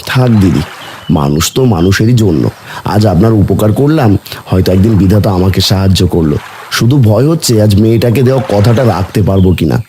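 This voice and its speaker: A man's voice: on the low side, expressive, fast talker, warm tone, slight background noise, natural voice. A man voicing a kindly, easygoing village father in a cartoon story, homely and reassuring. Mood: resigned, kind.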